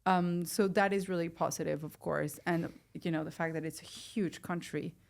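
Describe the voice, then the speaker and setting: Female podcast speaker, slightly low register, informal and conversational, tends to check in with the listener. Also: fairly even intonation, normal speed, gravelly, darkish tone. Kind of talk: casual interview conversation.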